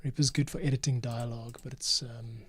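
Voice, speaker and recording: A man's voice: medium pitch, little pitch movement, brisk, fairly bright tone, breathy edge, no echo. A man thinking aloud as he edits audio for a podcast, talking and muttering to himself, sometimes under his breath. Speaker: podcast editor and producer.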